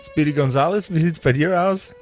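A man's voice: a little high, a little fast, darkish tone, soft background music. A man narrating an improvised tabletop game as game master in a vivid, descriptive way.

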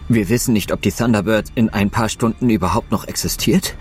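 A male actor plays a worried astronaut on a Mars crew in an audio drama. His voice sits on the low side, with soft music underneath. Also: brisk, close to an everyday voice. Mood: alarmed.

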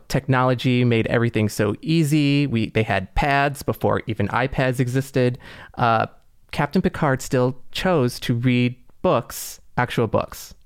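A man's voice: mid-range voice, clean tone, dry recording, studio quality. An American man co-hosting a podcast in a casual conversational style. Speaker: podcast co-host.

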